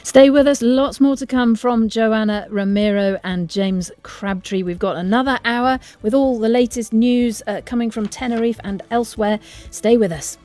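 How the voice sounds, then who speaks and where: A woman presenting radio news and interviewing guests in a measured broadcaster's delivery. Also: on the high side, a little mellow, faint music behind.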